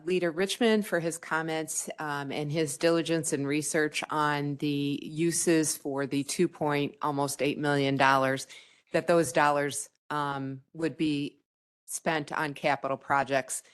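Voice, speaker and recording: A woman county board member making measured remarks at a public meeting, with frequent hesitations. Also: low voice.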